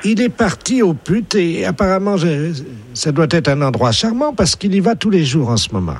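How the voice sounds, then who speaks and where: Guy doing a prissy older lady on a prank call, swoops up and down, a bit husky. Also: high-pitched, big pitch swings, normal speed, exaggerated voice. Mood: prim. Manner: prim, earnest and naive. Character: prim older woman, wife of an academician. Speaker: prank caller.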